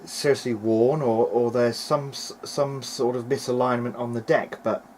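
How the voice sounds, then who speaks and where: Man, medium pitch, casual workbench commentary, hesitant, thinking aloud, unscripted, noisy amateur home recording. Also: fairly even intonation, moderate pace, a little mellow. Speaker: hobbyist video maker repairing vintage audio gear. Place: home workbench, speaking behind a handheld camera.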